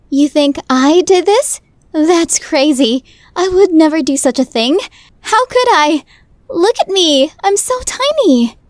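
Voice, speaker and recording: A woman's voice: very high-pitched, dry recording, exaggerated voice. A woman playing a tiny, nervous, lovestruck young woman in an ASMR roleplay, stammering and flustered. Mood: startled, defensive. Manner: stammering, flustered, breathy asides.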